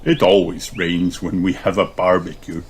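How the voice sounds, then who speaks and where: A man voicing a plump, jolly, slightly befuddled daddy pig in a low, slow, mild voice. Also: level tone, background noise, close to an everyday voice.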